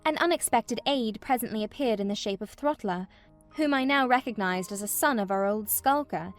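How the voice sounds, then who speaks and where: A vexed young gentlewoman, played by a woman, tells her wretched tale as if from a letter. Her voice sits fairly high, the storytelling is measured and literary, and music plays faintly behind. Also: close to an everyday voice. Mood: rueful recounting. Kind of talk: dramatized audiobook narration.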